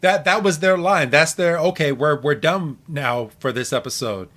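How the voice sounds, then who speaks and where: A man with a slightly high voice talks casually as a podcast co-host. He recaps a cartoon episode in a halting way, doubling back on phrases as he explains. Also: sharp tone.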